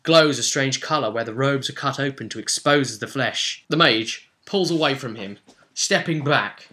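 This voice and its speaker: A man's voice: medium pitch, moderate pace, sharp tone. A man narrating a tabletop role-play as game master, theatrical and dramatic, in short punchy phrases.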